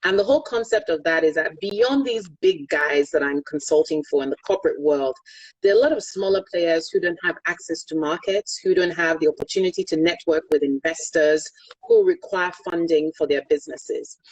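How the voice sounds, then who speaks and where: A woman's voice: low-pitched, fairly quick, slightly rough, no room sound, rough recording. A middle-aged Nigerian woman, a Yoruba speaker, explaining energy business in an online interview in an articulate, pragmatic, emphatic way. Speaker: guest, energy consultant.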